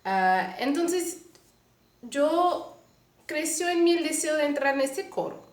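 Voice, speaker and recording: A Canadian woman tells her faith story in Spanish with a Mexican-tinged accent and a little Portuguese slipping in. She speaks earnestly and pauses often to find her words. Her voice carries a roomy echo. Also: sits high, big pitch swings. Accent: Mexican-tinged Spanish mixed with Portuguese. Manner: earnest, unhurried, frequent hesitations. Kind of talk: personal faith testimony.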